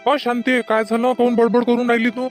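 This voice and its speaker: A man's voice: very high-pitched, little pitch movement, fairly quick. A man playing a puzzled husband waking his wife from a nightmare, speaking with a Varhadi Marathi accent and fussing at her with nagging questions. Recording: dry close recording with faint music.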